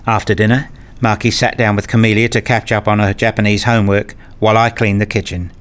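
A man's voice: fairly low pitch, level tone, a little fast, clear, resonant tone, close to an everyday voice. A man playing a nerdy, wry father who narrates his own story in an audio drama. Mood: wry narration. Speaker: narrator and lead actor in a radio play. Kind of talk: first-person audio drama narration and dialogue.

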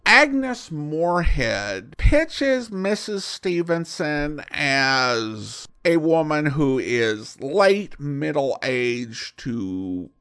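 Slow-paced man with very lively pitch. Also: clear, resonant tone. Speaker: host reviewing old radio drama. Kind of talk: semi-scripted review commentary.

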